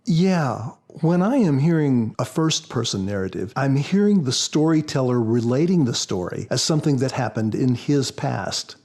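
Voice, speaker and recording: A man speaking as a guest author in a podcast interview, explaining in a measured, prepared style. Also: medium pitch, animated, a little mellow.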